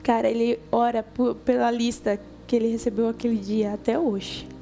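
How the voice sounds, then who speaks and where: Female youth preacher, Brazilian accent, high-pitched, chatty storytelling delivery, faint music underneath. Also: flat delivery, moderate pace, gravelly, warm tone. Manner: colloquial, conversational, addresses listeners directly, acts out dialogue. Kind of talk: informal youth sermon with storytelling.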